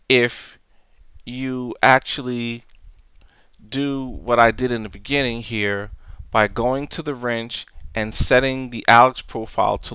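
A man giving a casual software tutorial, explaining things step by step, close on the mic in a voice-over recording. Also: medium pitch, clear, resonant tone, no echo, muffled recording. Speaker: tutorial presenter.

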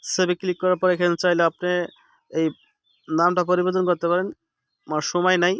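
Quick, high-pitched man explaining steps. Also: little pitch movement, a bit bright, clear, resonant tone, no echo, clean studio sound. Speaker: app tutorial host. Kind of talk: casual step-by-step screen tutorial narration.

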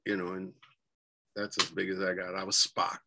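A man in his forties with a New York accent and a fairly low voice talks over a webcam from a bedroom at home. He rambles through his memories, with plenty of fillers and restarts. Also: completely flat delivery, normal speed, vocal fry, pushed and bright, slight background noise. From New York City. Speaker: guest. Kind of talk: casual interview chat. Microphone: webcam on a video call.

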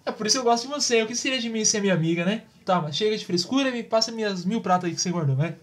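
A woman's voice: medium pitch, expressive, racing, lightly acted. A woman voicing a goofy, cheeky, dim young boy in a Brazilian children's cartoon, whiny and clownish. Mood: playful. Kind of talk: children's cartoon comedy dialogue.

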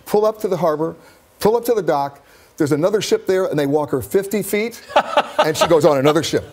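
Brisk conversational TV correspondent, a man. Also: fairly high pitch, animated. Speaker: travel editor, correspondent. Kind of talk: news feature narration and studio chat. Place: TV news studio.

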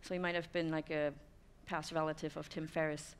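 A woman's voice: low-pitched, little pitch movement, brisk, a little hiss or hum. German woman giving a talk. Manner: thoughtful, frequent "um" fillers. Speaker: speaker. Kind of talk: conference talk. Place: dim stone-walled room with audience.

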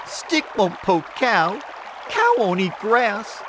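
A woman's voice: medium pitch, sing-song, takes it slowly, background noise, pitched above the performer's own voice. A woman voicing an eager, fussy male rooster in a children's storybook, pleading as she repeats a growing list of requests. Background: music.

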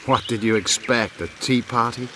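An actor playing a proud, bitter elven rebel commander in a fantasy game, grave and declamatory. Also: male voice, mid-range voice, moderate pace, noisy background, close to an everyday voice. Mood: commanding. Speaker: video game character actor. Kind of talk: game dialogue acting.

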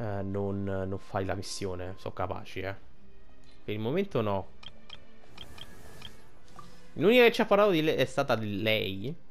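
A man's voice: lowish voice, a bit bright. Casual Italian gamer, man with music behind. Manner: rambling, thinking aloud. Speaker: gaming streamer. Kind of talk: casual gameplay commentary.

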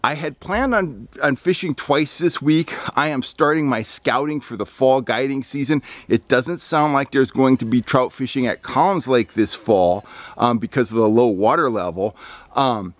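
A man's voice: medium pitch, normal speed, no room sound. Host type, man in his 50s, casual fishing-guide report, dry close sound, filler-heavy delivery, outdoors on a deck. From California. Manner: rambling, frequent ums and restarts, lively on recommendations. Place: outdoor deck among pine trees.

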